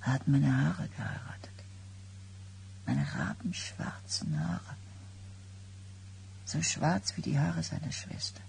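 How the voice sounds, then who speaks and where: Creaky, whispering, doom-haunted wife. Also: female voice, low voice, full character voice. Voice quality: breathy near-whisper with heavy creak. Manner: slow, brooding, intense. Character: foreboding, exhausted expectant wife, suspicious and brooding. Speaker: actress in a radio play. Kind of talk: 1990s German radio drama acting.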